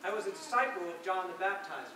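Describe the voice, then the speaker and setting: Devout fisherman disciple played by a man, earnest stage monologue, high voice, very echoey and far from the mic. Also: expressive, fast talker, pushed and bright. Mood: earnest recollection. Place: large reverberant indoor hall, recorded from afar. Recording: very echoey hall, distant mic, noisy.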